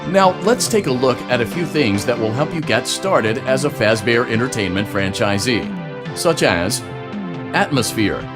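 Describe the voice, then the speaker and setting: Man doing a lively, salesy promo announcer over music. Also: mid-range voice, measured pace, natural voice. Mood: upbeat, salesy. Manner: peppy, salesy, smooth-talking. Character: upbeat, slick male franchise promo-video announcer. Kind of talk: parody corporate training and sales narration.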